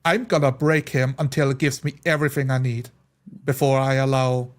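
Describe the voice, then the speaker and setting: A man's voice: mid-range voice, steady tone, fairly quick. A man in a casual group chat about a role-playing game, explaining his points through examples. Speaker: tabletop role-playing player.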